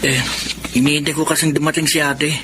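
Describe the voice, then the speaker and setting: A man acts out a worried young boy in a Filipino radio drama. The voice is fairly high and hesitant, with clear ambient noise around it. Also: level tone, a little fast, close to an everyday voice. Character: worried young boy waiting for his big sister.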